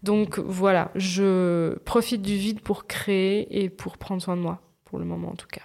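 A woman's voice: mid-range voice, flat delivery, unhurried, gravelly, a little mellow. Female podcast co-host, mid-range voice, open and informal, talking personally in slangy everyday speech. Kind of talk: casual, confessional podcast chat.

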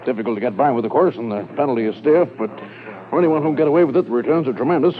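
An actor playing an affable, watchful customs officer with an easygoing chatty manner in a 1950s radio drama. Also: male voice, mid-range voice, very fast, natural voice. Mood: relaxed, matter-of-fact. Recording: muffled, noisy 1950s radio recording.

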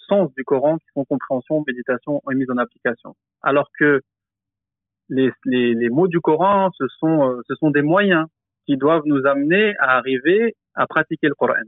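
A man who teaches the Quran, explaining slowly in a podcast discussion, hesitant, with many fillers and restarts. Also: medium pitch, no room sound, narrow, tinny audio.

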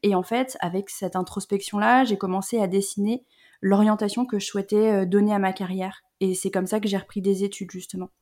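A woman in her thirties from the Rhône-Alpes region, telling her personal story as an interview guest in a reflective way, often pausing with "euh". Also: mid-range voice, fairly even intonation, a little mellow. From Rhône-Alpes, France. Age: 30s. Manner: reflective, many hesitant "euh" fillers.

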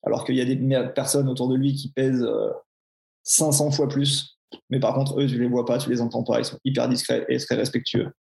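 A man's voice: medium pitch, level tone, a little fast, vocal fry, darkish tone, reverberant. A man in his forties with a Swiss French accent, a personal trainer talking frankly and casually in an interview. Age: 40s. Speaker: personal trainer, podcast guest.

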